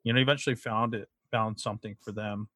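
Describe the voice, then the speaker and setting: A young man in casual chat, speaking haltingly with many restarts and frequent throat clearing. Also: lowish voice, steady tone, pushed and bright, dry recording.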